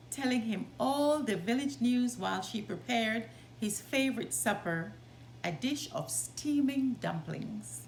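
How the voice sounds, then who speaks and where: Female picture-book narrator, expressive and deliberate, fairly high voice, faint noise behind. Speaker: storyteller reading aloud. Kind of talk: expressive children's story narration. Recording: roomy sound, background noise.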